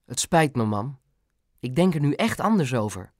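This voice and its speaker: A man's voice: medium pitch, very animated, normal speed, no echo, studio quality. A man voicing a nervous young son with a hesitant manner in an audiobook. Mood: flustered, pleading. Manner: hesitant, stammering excuses. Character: nervous, sweaty young son covering up a fib. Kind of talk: audiobook character voice.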